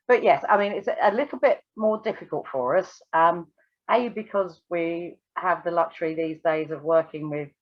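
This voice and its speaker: A woman's voice: deep voice, muffled recording. A British woman giving an unscripted, thorough technical explanation step by step, in a hesitant way, as a guest expert. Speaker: air traffic control and radio examiner, guest expert.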